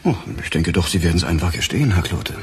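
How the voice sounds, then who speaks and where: Gravelly, deep, sardonic inspector. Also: male voice, lively intonation. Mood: accusing. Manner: ironic, deliberate storytelling. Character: sardonic, relentless male police inspector. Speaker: actor in a radio crime play. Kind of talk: German radio drama dialogue.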